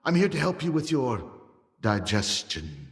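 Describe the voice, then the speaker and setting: An actor playing a taunting, zealous cult leader in a video game cutscene, preaching and mocking in a theatrical way. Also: male voice, mid-range voice, big pitch swings, measured pace, heavy background noise. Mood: smug, coaxing. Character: zealous, taunting male cult leader of a post-apocalyptic zone. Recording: echoey hall, music behind.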